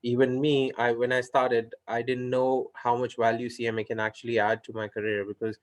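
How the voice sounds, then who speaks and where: A man's voice: mid-range voice, flat delivery, brisk. Hesitant man from India. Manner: hesitant, many restarts and fillers. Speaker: interviewer. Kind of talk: casual video call conversation.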